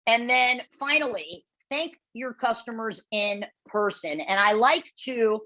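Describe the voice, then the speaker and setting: A woman with a loud voice presenting a webinar, blunt and direct, often checking in with the audience as she coaches. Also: fairly high pitch, animated, measured pace. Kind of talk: instructional webinar talk. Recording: dull lo-fi webinar audio.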